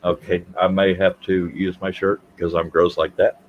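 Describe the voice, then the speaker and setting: A man's voice: sits low, level tone, moderate pace, highs cut off, slight background noise. A man, hosting a casual livestream chat, joking and self-deprecating as he rambles. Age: 50s. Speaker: livestream host.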